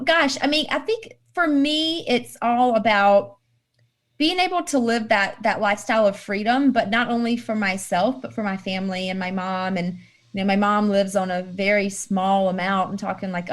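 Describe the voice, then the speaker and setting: A woman's voice: medium pitch, steady tone, moderate pace. A woman in her thirties answering interview questions in a casual, upbeat way and laughing readily. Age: 30s. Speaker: guest.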